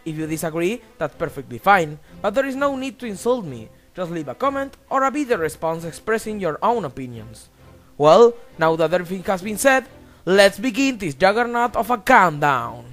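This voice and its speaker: A man's voice: high-pitched, big pitch swings, moderate pace, a bit bright, soft background music. A young man from Argentina, narrating a countdown video in an emphatic, exclamatory way with crude jokes. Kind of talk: scripted voice-over narration.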